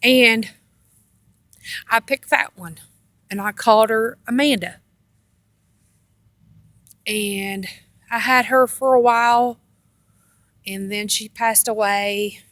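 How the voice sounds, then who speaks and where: A woman casually telling rambling personal stories in a halting, meandering way, often repeating herself. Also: on the high side, fairly even intonation, a bit bright, dry recording. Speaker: storyteller on own video channel.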